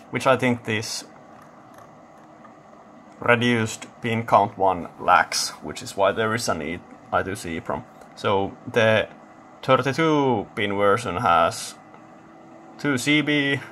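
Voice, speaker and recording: Male hobbyist presenter, unhurried and matter-of-fact, halting delivery, mid-range voice, faint noise behind. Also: fairly low pitch, a bit bright. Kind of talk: casual technical demonstration. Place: indoor electronics workbench.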